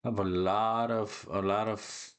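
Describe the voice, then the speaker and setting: A man with a fairly low voice hosts a casual reaction video. He rambles and doubles back on his words, thinking aloud in a chatty, informal way. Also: steady tone, slow, clean tone. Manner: hesitant, rambling, repeats words.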